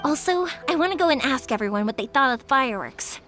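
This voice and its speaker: A woman's voice: high-pitched, expressive, fast talker, fairly bright tone, soft background music. A young woman voicing a cheerful, easygoing, big-sisterly fireworks maker in a video game, chatty and friendly. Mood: friendly, upbeat. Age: young adult. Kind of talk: English video game character voice acting.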